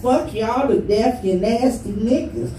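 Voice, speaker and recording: A brash, foul-mouthed woman working a stand-up crowd, her voice high and her delivery unhurried. She is caught from far off in a roomy little barbershop venue, with the crowd loud around her. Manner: brash, profane, crowd-roasting. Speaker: stand-up comic. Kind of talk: stand-up set at an open mic. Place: small barbershop comedy venue.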